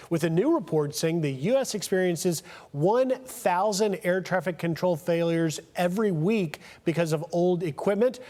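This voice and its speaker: A man's voice: high voice, darkish tone. A man in his fifties with an American accent, anchoring live television news and interviews. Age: 50s.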